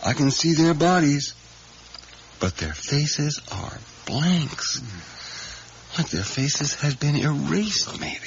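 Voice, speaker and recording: An actor in a 1980s radio drama playing an odd, haunted kitchen worker who tells his story in a halting, brooding way. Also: on the high side, animated, gravelly, slight background noise, heavily acted. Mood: dreamy, haunted. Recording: dull 1980s radio drama recording, music.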